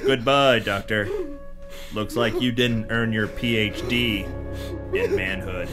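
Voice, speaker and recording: A man's voice: fairly low pitch, steady tone, slow, over loud music. A man playing a tough, swaggering pulp adventurer with hard-boiled delivery in a radio serial parody. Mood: cool contempt.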